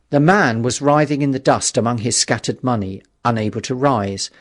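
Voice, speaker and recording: Guy narrating an old novel audiobook, medium pitch, measured dramatic storytelling.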